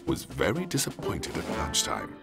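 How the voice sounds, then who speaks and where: A man's voice: extremely low pitch, huge pitch swings, normal speed, vocal fry, loud music behind the voice. A man reading a children's storybook aloud as narrator, expressively, for very young listeners.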